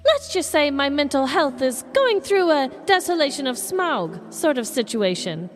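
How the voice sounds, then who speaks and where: Female hobbit acted by a woman, high voice, animated sing-song, cheery, fantasy parody, light music behind. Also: normal speed. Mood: sheepish. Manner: dramatic, sing-song, swooping. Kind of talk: comedic fantasy audio parody.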